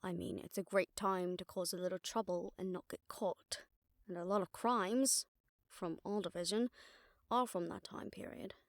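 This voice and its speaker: Wry witch detective played by a woman, creaky voice. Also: on the low side, steady tone, normal speed, dry recording, studio quality, lightly acted. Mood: focused, explaining. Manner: casual, wry, mildly swearing, explanatory. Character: exasperated, sharp-tongued witch detective briefing her partner. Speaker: audio roleplay voice actor. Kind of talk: conversational audio roleplay acting.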